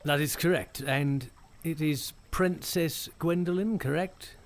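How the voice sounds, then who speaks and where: A man playing a courteous, humble knight in a fantasy audio drama, speaking formally and with deference. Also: fairly high pitch. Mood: playful. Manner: formal, deferential, slightly halting. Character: courteous, contrite knight addressing a princess.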